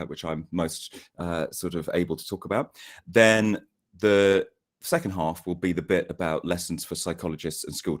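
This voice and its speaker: A man with a hoarse, croaky voice from a cold, giving an academic seminar talk over a video call, a little hesitant. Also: low voice. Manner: hesitant, repeats words, self-deprecating. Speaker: guest lecturer.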